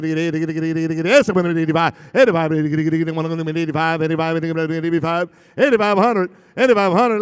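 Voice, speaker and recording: A man working a live charity auction, rattling off bids in a rapid rhythmic chant and joking with the crowd. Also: fairly high pitch, smooth. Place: large banquet hall at a gala. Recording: long reverb in a big hall.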